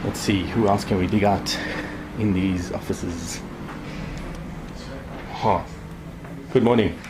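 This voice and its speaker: A man's voice: deep voice, fairly even intonation, takes it slowly. A man from South Africa hosting a casual interview in an office, jokey and playful. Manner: jokey, playful, laughs often. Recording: echoey room, mic far off, light noise.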